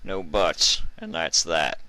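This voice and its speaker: A man voicing an old stallion sheriff in a storybook reading, quiet and seasoned, folksy, stern but tender. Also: sits low, animated, slow, no echo, full character voice. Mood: firm warning. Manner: folksy, controlled, comforting. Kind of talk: storybook character voice in fan fiction reading.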